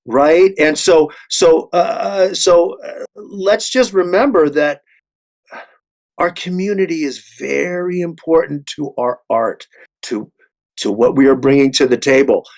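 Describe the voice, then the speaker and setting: A man speaking earnestly in a discussion, halting and repeating words as he makes emphatic points. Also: fairly high pitch. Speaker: panelist, theatre artist.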